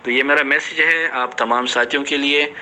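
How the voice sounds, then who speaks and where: A man's voice: mid-range voice, steady tone, moderate pace, bright, forceful tone, a little hiss or hum. A man giving an informal voice-message announcement to his team, explaining things and repeating phrases for emphasis. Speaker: team leader of an online investment scheme.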